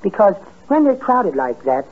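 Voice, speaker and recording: Timid apologetic little henpecked man, husky soft voice, 50s radio comedy, super tinny sound. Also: high-pitched, sing-song, moderate pace, heavily acted. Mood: chatty, earnest. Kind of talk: 1950s radio comedy acting. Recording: muffled tinny 1950s radio recording.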